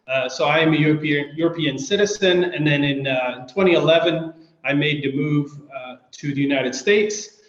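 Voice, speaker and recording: Measured man from Belgium, echoey. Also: a little high. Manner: measured, hesitant with fillers. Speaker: guest. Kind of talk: interview answers. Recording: echoey dull-sounding room.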